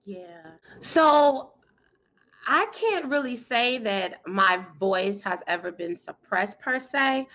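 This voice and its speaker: A woman in her thirties or forties, guest in an interview, talking energetically and emphatically with a loud, powerful voice and frequent laughter. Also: on the high side, expressive, takes it slowly. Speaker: guest, PR firm founder and speaker.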